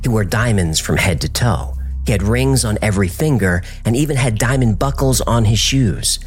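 A man narrating a scripted documentary, telling the story with a dramatic delivery and wide swings in pitch. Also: animated, normal speed.

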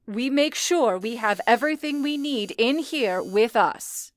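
A woman playing a calm, commanding telephone switchboard supervisor in an old-time radio play, with a deliberate, authoritative way of speaking. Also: fairly high pitch. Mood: firm, organizing. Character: calm, commanding female telephone operator supervisor in wartime-like crisis.

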